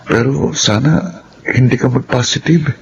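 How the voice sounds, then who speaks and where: A man's voice: medium pitch, a little slow, creaky. Young man, radio drama, evasive, hesitant, dull recording, faint noise. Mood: uneasy. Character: evasive young boyfriend, reluctant to take responsibility. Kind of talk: Filipino radio drama acting. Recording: narrow-band sound with faint noise.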